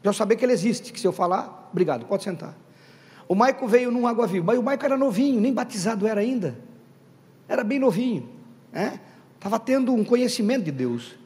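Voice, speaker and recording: A man preaching a Pentecostal sermon to his congregation. He talks emphatically, calls out questions to the room and builds to loud stressed bursts. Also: sits high, expressive, fairly quick. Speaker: pastor. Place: church hall. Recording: reverberant hall with faint background music.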